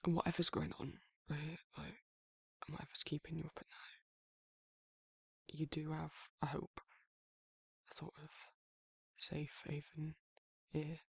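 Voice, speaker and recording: A man playing a protective, awkward but tender werewolf in an intimate ASMR roleplay, speaking in a hesitant, husky British murmur. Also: a little high, completely flat delivery, no echo, heavily acted. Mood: hesitant, caring. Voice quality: husky, gravelly, breathy. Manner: halting, hesitant, self-correcting, softly murmured.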